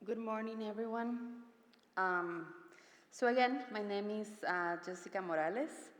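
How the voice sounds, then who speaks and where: A woman from Guatemala with a Guatemalan Spanish accent, reading a prepared activist speech at a conference in a measured, solemn way. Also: mid-range voice. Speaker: activist speaker. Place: conference hall, through PA. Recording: echoey hall with long reverb.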